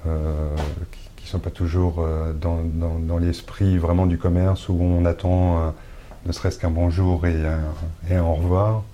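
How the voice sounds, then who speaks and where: A man with a very deep, mellow voice answers interview questions. He speaks in a flat, even tone and searches for words often, filling pauses and restarting his sentences. He is a shop owner from Brittany. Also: muffled recording, a little hiss or hum. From Brittany, France. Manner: hesitant, many filler pauses and restarts.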